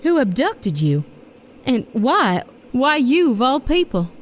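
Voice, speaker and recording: An actress playing a tough, sarcastic woman who leads a space mission in an audio drama, with a brisk, sardonic delivery. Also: high-pitched, sing-song, full character voice. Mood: wary, indignant. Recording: noisy background ambience.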